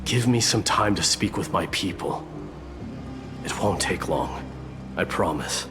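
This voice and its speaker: Game role: brooding noble warrior, low-pitched, solemn and restrained, over clearly audible music. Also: male voice, expressive, a little slow. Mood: solemn, resolute. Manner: formal, grave, restrained. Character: proud, stern, brooding male warrior prince. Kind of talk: video game voice acting.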